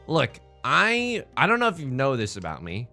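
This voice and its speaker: A man from China hosting a let's play, riffing through his game with running jokes and internet slang. His voice sits at an ordinary middle pitch but swings widely as he talks. Quiet game music plays underneath. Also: wildly swooping pitch, moderate pace. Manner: jokey, rambling, playful internet slang. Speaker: gaming channel host.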